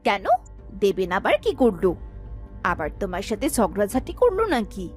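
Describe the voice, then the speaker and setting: A woman's voice: medium pitch, very animated, moderate pace, soft background music, lightly acted. A woman voicing a greedy, nagging village housewife in a cartoon, scolding and questioning in an exasperated way. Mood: nosy, questioning. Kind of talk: cartoon voice acting.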